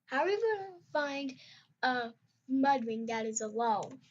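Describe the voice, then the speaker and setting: A woman voicing a loud, pushy young male rainwing dragon that growls, in a home-read storybook. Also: high voice, normal speed, a little hiss or hum, voice pushed up, close to an everyday voice. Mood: gruff. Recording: dull lo-fi recording.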